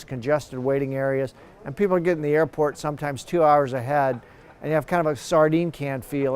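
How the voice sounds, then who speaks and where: A man gives unscripted expert commentary in a news interview, explaining things in a conversational way. His voice is fairly high for a man, smooth and clear. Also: a little mellow. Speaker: professor and expert guest commentator.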